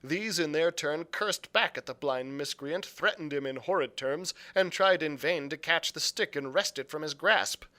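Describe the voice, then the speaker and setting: A man narrates a storybook adventure aloud in a dramatic reading style. His voice sits a little higher than most men's. It has a bright, forceful tone that suits a librarian reading to listeners. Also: normal speed, hardly any pauses.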